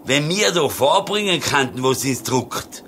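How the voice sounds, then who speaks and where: Bright-voiced, wheedling Tyrolean shepherd. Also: male voice, medium pitch, lively intonation, a little slow, a little hiss or hum. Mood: pondering. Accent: Tyrolean Austrian. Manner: hesitant, wheedling, colloquial. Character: naive, calculating Tyrolean shepherd farmer seeking favours. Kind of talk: satirical Christmas radio play acting.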